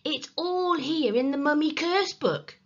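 A woman's voice: high voice, animated, a little slow, dull audio, pitched above the performer's own voice. A woman voicing a sly, bossy, mischievous older brother in a children's storybook, blustering and wheedling with melodrama. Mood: conspiratorial.

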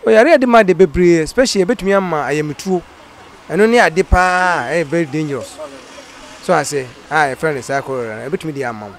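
A man's voice: on the high side, fairly quick. A middle-aged man answering a street interviewer, earnest, mixing Twi with English words. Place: outdoors by an office doorway. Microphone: handheld mic held by interviewer.

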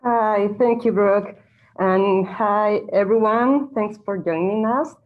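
A young woman from Chile, a current graduate student speaking through a face mask on a video call, halting with many fillers and restarts. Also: medium pitch. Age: young adult. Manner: halting, many uhs and restarts, non-native phrasing. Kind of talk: answering questions on a video call. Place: bright indoor room, wearing a face mask. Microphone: laptop webcam mic. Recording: dull lo-fi video call audio.

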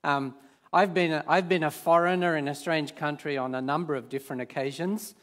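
A man in his fifties, born in Sweden with Swedish as his first language, preaching on a large church stage in a relaxed, hesitant way full of fillers. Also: fairly high pitch, fairly quick. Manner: hesitant, many fillers and restarts. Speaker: guest preacher. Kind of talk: informal sermon.

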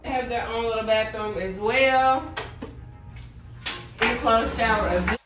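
A woman's voice: on the high side, unhurried. A woman in her twenties casually narrating her vlog as she goes along. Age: 20s. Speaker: vlogger. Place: indoors in condo rooms and inside a car. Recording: echoey rooms and car, music behind.

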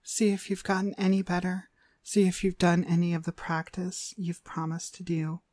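A woman playing a cruel, domineering demonic dog trainer in an ASMR roleplay, haughty and scolding with drawn-out emphasis. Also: fairly low pitch, level tone, darkish tone, dry recording, lightly acted. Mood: cold contempt.

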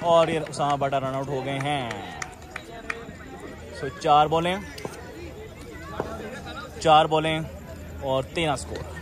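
Man doing lively amateur cricket commentary. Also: a little high. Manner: excited commentary, rising on big shots. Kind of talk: live ball-by-ball commentary. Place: outdoor floodlit cricket ground, netted. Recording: crowd noise from an outdoor ground. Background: players and crowd chatter.

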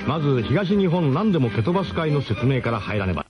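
An actor playing a brash, mock-grandiose expedition leader who narrates a comic radio drama in pompous formal phrasing. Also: male voice, medium pitch, big pitch swings, a little fast, over music, close to an everyday voice. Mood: mock-serious, lecturing.

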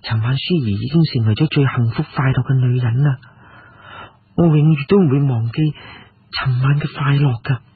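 A male storyteller plays a young concubine, tender, shy and deferential. The voice is soft and breathy, as in a storybook. It sounds muffled and narrow, like an old radio. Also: mid-range voice, normal speed, no echo. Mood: happy, devoted.

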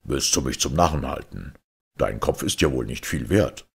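A man playing a stern, overbearing fairy-tale king with a deep, gravelly voice and a commanding, menacing manner. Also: expressive, moderate pace, full character voice. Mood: angry, threatening.

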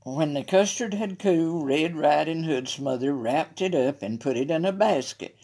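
A woman's voice: low voice, normal speed, dark tone, breathy edge. A woman with a Southern American accent reading a children's story aloud as narration. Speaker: library story reader. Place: library room, indoors at a table.

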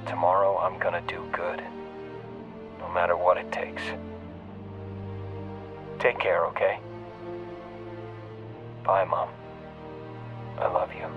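A man's voice: low voice, little pitch movement. A young man playing an earnest, anxious American soldier leaving a hesitant message for his mother through an answering machine filter. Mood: regretful, resolved. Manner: hesitant, halting. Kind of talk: dramatic game voice acting, hesitant phone message. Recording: muffled answering-machine tape, faint music.

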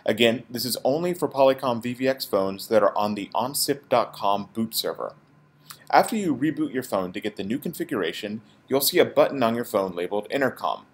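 A man presenting an informal, explanatory product tutorial as a support technician. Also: lowish voice.

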